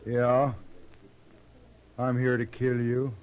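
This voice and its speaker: A man's voice: medium pitch, monotone, takes it slowly, loud music behind the voice, natural voice. An actor playing a bitter, hardened hired gunman in a 1950s radio western, curt and sardonic. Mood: cold, menacing. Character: bitter, hardened hired gunman, old friend turned enemy. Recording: muffled old radio recording.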